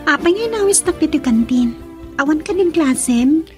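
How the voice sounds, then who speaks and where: A woman's voice: high voice, expressive, normal speed, darkish tone, soft background music. An actress playing a sweet, hesitant young student girlfriend who frets, in a Filipino radio drama with a Filipino accent. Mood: puzzled, surprised. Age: young adult.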